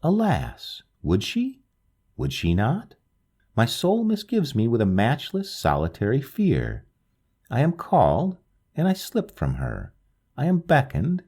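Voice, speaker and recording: A man reading a literary ghost story aloud in a slow, solemn, wistful manner, with a gravelly, husky voice. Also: medium pitch, dark tone. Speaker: narrator reading a short story. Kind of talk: scripted literary narration.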